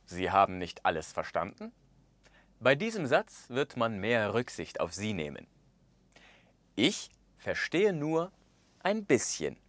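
A man in his thirties presenting a language course in a studio, speaking with expressive gestures and acting out the example phrases. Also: lowish voice, takes it slowly, pauses often, dry recording. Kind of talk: scripted lesson narration. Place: studio with orange backdrop.

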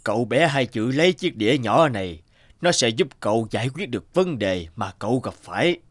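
A mysterious old cartoon game master, acted by a man, speaks with grand, menacing formality. His mid-pitched voice swings dramatically up and down. It rings with echo over background music. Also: lively intonation, fairly quick, natural voice. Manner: theatrical, formal announcing. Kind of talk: animated cartoon dubbing. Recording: echoey voice over loud music.

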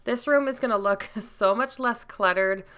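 Bright, smooth-voiced woman narrating chores. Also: fairly high pitch, normal speed. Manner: chatty, upbeat, explains each step. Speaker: vlogger. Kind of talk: cleaning vlog voice-over.

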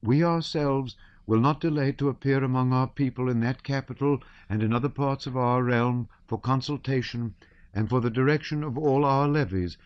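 A man voicing an earnest young woman who reads aloud carefully in a high-pitched voice. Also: clean tone, lo-fi sound, lightly acted.

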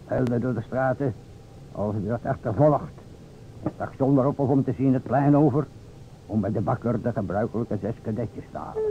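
An actor playing a solemn, theatrical investigator in an old radio drama, speaking formally and deliberately to a widow in a gravelly voice. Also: male voice, lightly acted. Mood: grave narration. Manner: formal, deliberate, dramatic storytelling with pointed pauses. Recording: vintage radio, narrow band, hiss and rumble.

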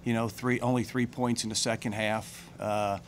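A middle-aged man, a head football coach, answering questions at a postgame press conference in plain, halting speech full of fillers and restarts, into a table mic. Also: lowish voice, level tone, slight background noise. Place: press room with branded backdrop. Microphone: table mic on a stand.

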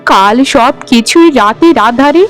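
Woman doing a strict old cartoon mother in law, high voice, scolding, no nonsense, light music behind. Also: lively intonation, unhurried. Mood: stern, scolding. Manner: stern, scolding. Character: stern, shrewd old mother-in-law, unmoved by flattery. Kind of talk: cartoon voice acting.

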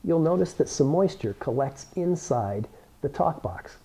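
A man's voice: a little high, lively intonation, a little slow, creaky, dark tone, breathy edge, low-quality recording. A man, giving a plain-spoken, encouraging how-to demonstration to camera as an instructor. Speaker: science toy-making instructor. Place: indoor workroom with cabinets.

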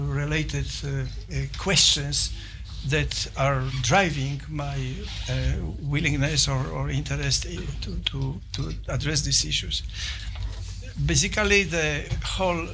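An older man with a Polish accent gives a formal talk in an office meeting room, halting and restarting as he searches for words. His voice is a little high with a gravelly edge. The far camera picks up clear room noise around him. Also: pushed and bright. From Poland. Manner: halting, with many fillers and restarts. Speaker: visiting scholar presenting. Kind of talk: formal presentation to a small audience.

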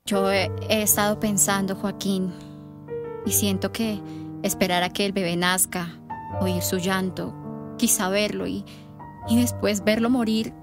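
A woman's voice: medium pitch, steady tone, unhurried, music in the background, close to an everyday voice. An actress playing an anxious, earnest young Colombian woman in a radio soap opera. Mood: sorrowful, hesitant. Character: distressed young pregnant woman, anxious yet determined.

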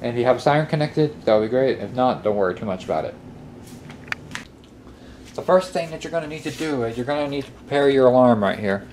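Casting: male how-to presenter, medium pitch, casual and methodical, repeats instructions, recorded with noticeable background noise. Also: lively intonation, brisk, darkish tone. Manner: step-by-step, repeats himself. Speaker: tutorial presenter. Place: indoor workbench. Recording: clearly noisy amateur room recording. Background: clear background noise.

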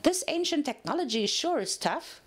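A woman voicing a cheerful, carefree young female pony in a story reading, chirpy and excitable. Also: high voice, normal speed, voice pushed up. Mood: excited. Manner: sing-song, chirpy, excitable.

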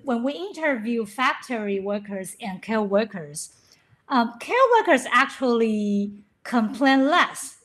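A woman speaking as a webinar panelist on a video call, measured, with some repetitions. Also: a little high, fairly bright tone. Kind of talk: webinar discussion.

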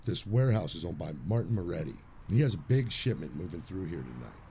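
An actor playing a world-weary old police sergeant in an audio drama, with brooding, measured delivery over background music. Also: male voice, low-pitched, animated, fairly bright tone. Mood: reflective. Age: older. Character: grizzled, street-hardened old police sergeant, world-weary, days from retiring. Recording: music bed under the voice.

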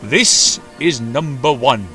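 A man's voice: on the high side, animated, moderate pace, a bit bright, faint music behind, pitched above the performer's own voice. A man voicing a proud, assuring railway controller in a children's storybook, making formal, authoritative speeches.

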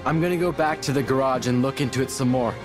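A man's voice: mid-range voice, fast, close to an everyday voice. A man playing an earnest teenage boy robotics prodigy and hero in a video game cutscene, hesitant when thinking. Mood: puzzled, earnest. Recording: music behind the voice.

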